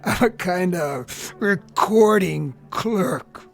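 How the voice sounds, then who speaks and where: An actor playing a tormented, bitter young army lieutenant in an intense radio drama style. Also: male voice, sits high, expressive, extremely slow, gravelly, heavily acted. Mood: bitter, weary. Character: tormented young army lieutenant who sees death on faces. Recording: radio drama with music underneath.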